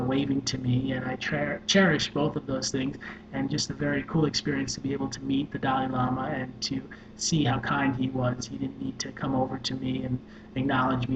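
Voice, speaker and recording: A soft-spoken man, a social worker and life coach, earnestly telling a personal story with many fillers. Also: mid-range voice. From New York. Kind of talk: personal story told to camera. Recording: echoey room with dull sound and noise.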